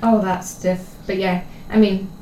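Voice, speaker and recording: A young adult woman from Scotland with a Scottish accent that sometimes slips toward English, co-hosting a casual chat in a chatty manner with quick asides. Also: mid-range voice, little pitch movement, warm tone. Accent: Scottish, at times English. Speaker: co-host. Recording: echoey room with faint noise.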